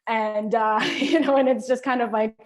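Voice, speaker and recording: A young woman from the Philippines, a feminist activist, introducing herself in a thoughtful, relaxed way with frequent fillers. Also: a little high, moderate pace. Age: young adult. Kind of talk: conversational self-introduction.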